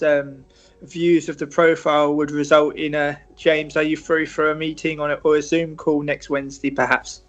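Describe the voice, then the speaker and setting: British man, measured interview talk. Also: fairly high pitch. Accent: British English. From Britain. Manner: measured, reflective, frequent restarts and fillers. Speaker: football writer and interview guest. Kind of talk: conversational interview answers.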